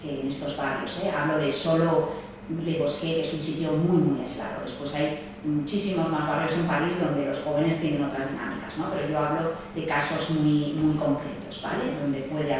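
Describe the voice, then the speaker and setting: A woman's voice: low voice, steady tone, moderate pace, noisy background. A woman, a sociologist, speaking at a seminar in a hesitant way, with many fillers and tag questions. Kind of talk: seminar talk. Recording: echoey distant room mic, faint ambience.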